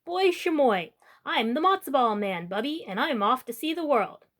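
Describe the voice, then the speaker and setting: A woman's voice: high voice, lively intonation, normal speed, a bit bright. A woman playing a cheeky, boastful little runaway dumpling boy in a storybook, with a taunting sing-song chant.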